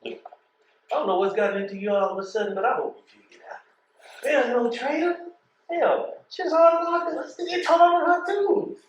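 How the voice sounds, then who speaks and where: A man's voice: very high-pitched, steady tone, moderate pace. A man with an American accent acting in a stage play as a loyal, teasing postal carrier, with a folksy, cajoling way of talking. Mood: disbelieving, insistent. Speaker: stage actor. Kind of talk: stage play dialogue, colloquial. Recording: echoey stage, distant mic, noisy.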